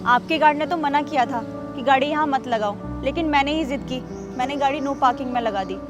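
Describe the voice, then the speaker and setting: A woman's voice: very high-pitched, brisk, music in the background. An actress playing an arrogant rich young woman who berates a guard in a short drama skit. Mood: remorseful. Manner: rude and shouting, later softly apologetic.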